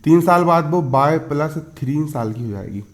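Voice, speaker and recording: A man in his twenties with a fairly high voice gives a school maths lesson. He explains each step, repeats key phrases, and keeps posing questions that he answers himself, checking that pupils follow. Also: animated, moderate pace. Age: 20s. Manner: repetitive, asks and answers own questions, checks understanding. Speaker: maths teacher.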